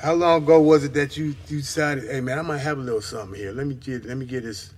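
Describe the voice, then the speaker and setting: A man's voice: mid-range voice, fairly even intonation, fast talker. A man hosting a live show, interviewing a guest and hyping him up to the audience. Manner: hyped, addresses audience as "y'all". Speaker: host.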